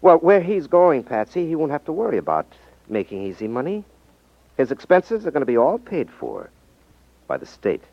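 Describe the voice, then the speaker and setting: An actor playing a confident, wry ex-magician turned detective in a 1950s radio drama, explaining things with self-assurance. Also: male voice, a little high, huge pitch swings, moderate pace, gravelly, no echo, exaggerated voice. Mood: wry, taunting. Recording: dull, dry 1950s radio recording.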